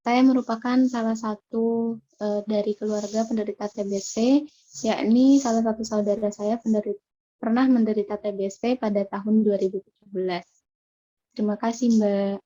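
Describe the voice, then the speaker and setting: A woman in her twenties with a slightly high, mellow voice introduces herself in a flat, careful way. The sound is dull and lo-fi. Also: moderate pace. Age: 20s. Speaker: discussion participant.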